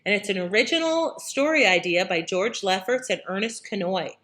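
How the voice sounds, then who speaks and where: A woman's voice: medium pitch, lively intonation, normal speed, fairly bright tone. A woman hosting, giving a casual, conversational introduction to old radio plays.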